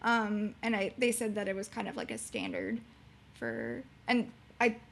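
Young woman with a high, youthful voice. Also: very animated, measured pace, vocal fry, slight background noise. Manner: bubbly, giggly. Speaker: podcast guest, streamer and beginning voice actor. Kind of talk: casual interview chat.

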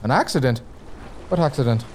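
A man's voice: medium pitch, expressive, fairly quick, a little mellow, a little hiss or hum, close to an everyday voice. A man playing a hearty, food-loving, teasing sailor who talks colloquially in a comic audio drama. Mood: teasing, exasperated. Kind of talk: gothic comedy audio drama dialogue.

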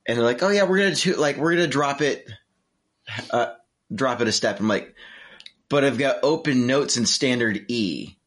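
A man's voice: medium pitch, moderate pace, a bit bright. A man chatting casually in an easygoing way, acting out bits of dialogue as he tells anecdotes. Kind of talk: casual chat.

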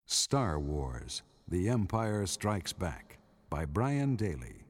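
A man narrating a scripted radio drama in a measured, solemn manner. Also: low-pitched, big pitch swings, breathy edge, no echo. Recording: music under the voice.

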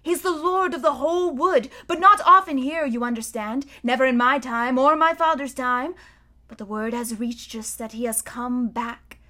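A hearty, gloomy male beaver, grave and folksy, as a woman reads him aloud. The voice sits high and carries well. It sounds like a storybook read at bedtime. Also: moderate pace, bright, forceful tone.

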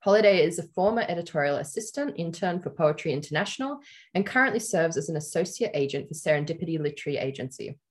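A woman with a deep, low voice co-hosting a casual chat, talking loosely and laughing often. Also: animated, fast talker. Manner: giggly, chatty, laughs often. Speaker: podcast co-host. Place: Australia.

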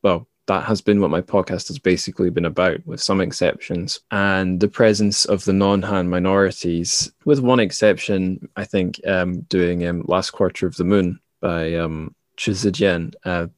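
A man's voice: deep voice, fairly even intonation, moderate pace, few pauses, no echo, clean studio sound. A man hosting a podcast in a thoughtful, reflective literary discussion, carefully qualifying his points. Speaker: podcast host.

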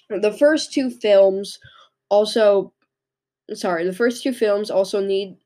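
A young woman with a cold and a hoarse voice, hosting a podcast and reading her notes in a halting way, often stumbling and restarting. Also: mid-range voice, darkish tone. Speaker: podcast host. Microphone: microphone into a laptop.

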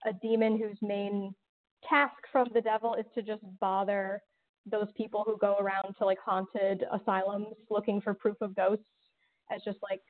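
A woman talking casually as an interview guest, explaining things in a conversational way. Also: medium pitch. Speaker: podcast guest, audio drama writer. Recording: thin phone-like call audio.

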